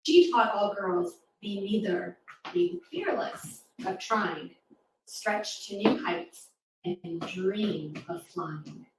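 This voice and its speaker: A woman's voice: medium pitch, animated, takes it slowly. A woman reading rhyming verse aloud to young children at a live storytime, with an encouraging, interactive manner. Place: live reading event in a roomy space. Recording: echoey room, distant mic, faint noise.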